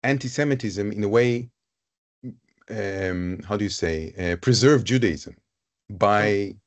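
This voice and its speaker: A man's voice: fairly low pitch, takes it slowly. A man moderating a live panel conversation, speaking in a thoughtful, searching way and often repeating words as he builds his questions.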